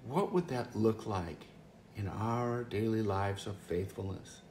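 Middle-aged male pastor, earnest and conversational, gravelly, in a reverberant room with faint hiss. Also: fairly low pitch, takes it slowly, a little mellow. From Wisconsin, US. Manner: earnest, conversational, frequent restarts. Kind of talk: home devotional talk. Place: living room by a fireplace, indoors. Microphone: camera or phone close up, seated.

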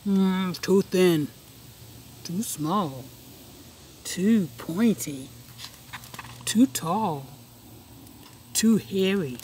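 Storybook detective sergeant read by a woman: slow, weighty, suspicious pondering over faint noise. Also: lowish voice, warm tone. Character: deliberate, suspicious police sergeant chalk inspecting suspects.

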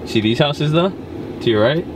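A man, chatting casually and laughing easily as he drives and points out the sights, recorded on a handheld camera in a car with loud road noise. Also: fairly high pitch, expressive, normal speed. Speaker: vlogger and driver. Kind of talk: casual chat while driving. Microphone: handheld camera mic.

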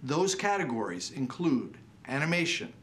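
A man's voice: fairly high pitch, very animated, normal speed, fairly bright tone. Formal American man in his sixties, slightly noisy. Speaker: awards show host; professor. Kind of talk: formal scripted presentation to camera. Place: indoor TV studio.

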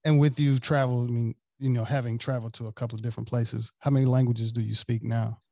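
A man's voice: mid-range voice, little pitch movement, vocal fry, mellow, dry recording. A man hosting a podcast, asking casual questions that halt and restart as he phrases them, recorded in a small treated studio. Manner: halting, self-correcting questions. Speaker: podcast host. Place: small studio with acoustic panels, indoors. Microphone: studio microphone on a desk.

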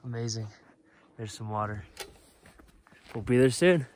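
A man's voice: medium pitch, measured pace, background noise. A young man in his twenties chatting upbeat to a phone camera while hiking a snowy mountain trail, a little out of breath. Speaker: vlogger. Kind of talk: casual hiking vlog to camera. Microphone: phone held at arm's length.

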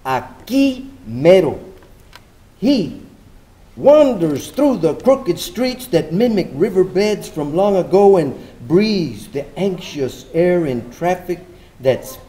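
An older man giving a measured, bilingual dramatic poetry reading at a microphone. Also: a little high, dark tone. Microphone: stand microphone at lectern.

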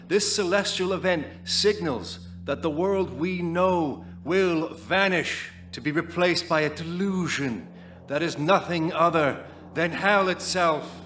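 Doomsday preacher for radio play, male actor, slightly high voice, grave oratory over light noise. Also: a bit bright. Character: solemn doomsday cult leader preaching end times. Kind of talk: dramatic scripted monologue.

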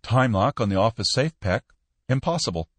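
A man voicing a cold, smooth businessman who answers calmly and without help. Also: mid-range voice, no room sound, lightly acted. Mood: cool. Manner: cool, purring, unhurried.

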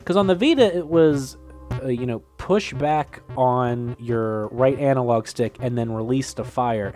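A man's voice: mid-range voice, darkish tone. A young man with a cold, commenting casually on a game he is playing, reading its text aloud, swearing and laughing as he gets flustered. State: getting over a cold, sniffling. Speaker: gameplay commentator.

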